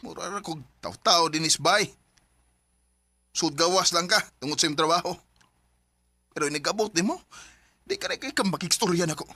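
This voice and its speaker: A man's voice: fairly high pitch, animated, normal speed, long pauses, pushed and bright. A man acting a resentful, hurt young husband in a Filipino radio drama, tense and wounded, with a Cebuano accent.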